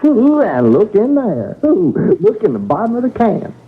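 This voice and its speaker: An actor playing a crotchety, bossy old safe-blower in a radio drama, with a folksy, scolding, halting way of talking. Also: male voice, high voice, wildly swooping pitch, slightly rough, exaggerated voice. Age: older. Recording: muffled, phone-like radio drama recording.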